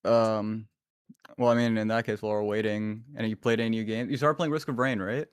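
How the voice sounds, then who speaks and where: A man's voice: on the low side, fairly even intonation, fairly quick, clean tone, no echo, clean studio sound. A young man co-hosting a casual gaming podcast, playful and teasing, with casual slang. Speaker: podcast co-host.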